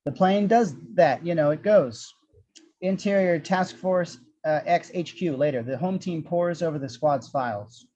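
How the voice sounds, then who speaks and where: Male screenplay narrator, wry, mellow tone, slightly muffled lo-fi sound. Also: a little high, unhurried. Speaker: action-description reader.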